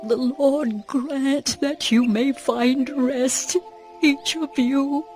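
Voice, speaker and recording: A woman playing a grieving, bitter but tender older widow in a dramatized audio Bible, pleading in a deliberate way. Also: sits high, fairly even intonation, a little mellow. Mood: tender, sorrowful. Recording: background music under the voice.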